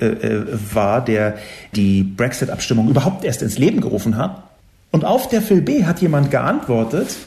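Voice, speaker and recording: A man from West Berlin, a columnist, giving an argumentative monologue with sharp emphatic peaks and frequent filler sounds. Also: medium pitch, roomy sound. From West Berlin, Germany. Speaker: columnist responding to reader comments. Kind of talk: monologue commentary.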